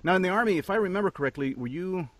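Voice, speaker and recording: Jokey middle-aged podcast host, dry studio. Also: male voice, high-pitched, animated, rapid-fire, a bit bright, no room sound. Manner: jokey, friendly, asks questions. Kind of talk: casual interview chat. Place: home studio with foam panels. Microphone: studio condenser mic.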